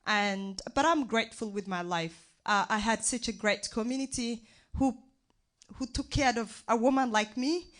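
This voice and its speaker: A woman's voice: on the high side, normal speed. A young woman from Somalia with a Somali accent, speaking as a guest activist on a live stage show and joking with the audience. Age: young adult. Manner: warm, joking rapport with the audience. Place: live art venue with audience.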